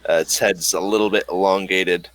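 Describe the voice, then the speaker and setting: A man narrating as game master of a tabletop game, describing scenes in halting bursts with many fillers. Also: deep voice, level tone, fairly bright tone, dry recording, rough recording.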